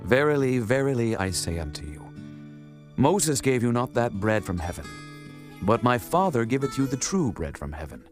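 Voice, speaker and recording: A man acts as a calm spiritual teacher in a scripture drama. His pitch is medium, his delivery measured, solemn and full of authority. Soft music plays behind him. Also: close to an everyday voice. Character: Jesus, a calm, authoritative adult male teacher.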